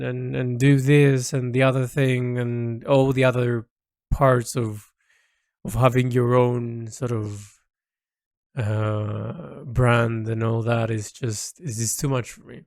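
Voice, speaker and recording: A man's voice: medium pitch, flat delivery, takes it slowly, darkish tone. A man talks through a personal audio log in an informal, reflective way. His delivery is hesitant, as if working out each thought aloud, with phrases that trail off. The sound is close and dry, with very little room echo.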